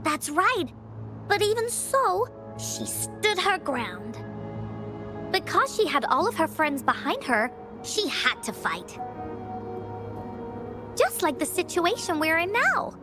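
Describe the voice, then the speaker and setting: A woman's voice: extremely high pitch, big pitch swings, slow pace, long pauses, over music, full character voice. A woman voicing a quirky, confident little girl in a video game, with playful, childlike pep. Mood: reassuring, brave. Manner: speaks of self in third person, childlike pep. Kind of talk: video game character acting.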